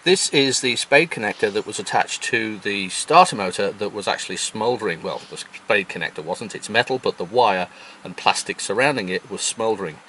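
A man's voice: on the low side, lively intonation, normal speed, a bit bright, slight background noise, low-quality recording. A man in his fifties explaining things casually to camera as a sailing vlog host. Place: outdoors at a marina and aboard a sailboat.

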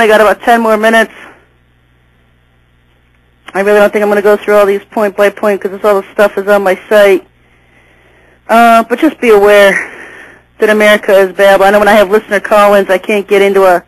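A woman's voice: medium pitch, no room sound, low-quality recording. A woman hosting a call-in radio show, chatty and laughing easily, often restarting her sentences.

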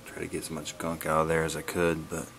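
A man giving a casual, laid-back vlog update in a halting, plain-spoken way, recorded on a handheld camera in a garage. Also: a bass voice, completely flat delivery, creaky, a bit bright, a little hiss or hum. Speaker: wood-splitting video blogger. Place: garage, open to outdoors. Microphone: handheld camera's built-in mic.

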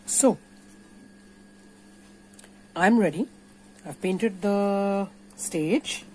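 Unhurried Indian woman teaching crafts. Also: mid-range voice, mellow, slight background noise. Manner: playful, encouraging, instructive. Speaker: puppeteer teaching a craft workshop. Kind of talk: informal step-by-step craft tutorial. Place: indoors at a craft table.

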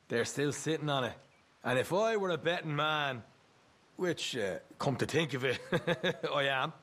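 An actor playing a cheeky, chatty young Irish gang rogue in a video game, talking in a jovial, rambling, teasing way. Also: male voice, on the high side, a bit bright. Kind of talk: video game cutscene acting.

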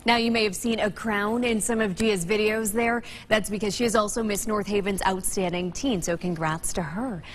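A woman's voice: medium pitch, fairly even intonation, normal speed, hardly any pauses, a little hiss or hum. A young American woman reading a scripted, upbeat local TV news feature as a reporter. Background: clear music.

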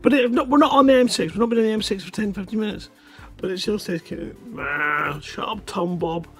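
Guy in his 30s hosting a stream, high voice, reads stuff all dramatic n drawn out, bit of music behind. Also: a bit bright. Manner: dramatic, drawn-out delivery when reading, sweary. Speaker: host of a live community show reading listeners' short stories. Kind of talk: live stream reading and banter. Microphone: headset. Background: music in the background.

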